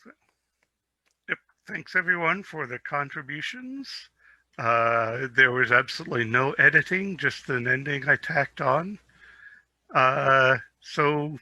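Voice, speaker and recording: A man narrates a fantasy tale with theatrical expression. His voice is very bright and forceful, and the sound is close and dry. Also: medium pitch, no room sound, rough recording. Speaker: storyteller. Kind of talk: dramatic reading of a fantasy story.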